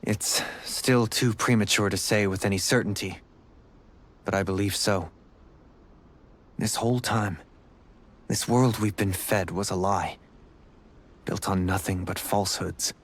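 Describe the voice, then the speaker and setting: An actor playing a dutiful, thoughtful young nobleman in a game, speaking in a formal, measured, earnest way. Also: low voice, steady tone. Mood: grave. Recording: prominent background music.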